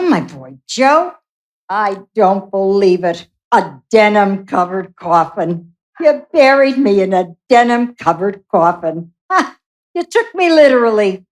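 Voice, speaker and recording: A brash mother with swooping delivery. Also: mid-range voice. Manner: exclamatory, irreverent, emotional. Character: brash, salty dead mother, bewildered in the afterlife. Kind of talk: radio play acting.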